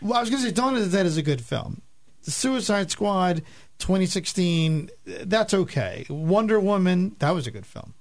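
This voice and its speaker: A male radio co-host chatting casually about films, disagreeing in a measured, wry and joking way. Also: high-pitched, animated. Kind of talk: casual chat and film commentary.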